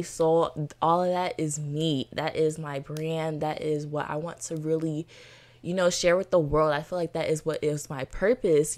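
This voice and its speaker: A woman's voice: low-pitched, darkish tone. A nineteen-year-old woman from Florida hosting her own podcast, talking in an enthusiastic, chatty way with lots of "you know" fillers. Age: teens. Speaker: podcast host. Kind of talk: solo podcast monologue.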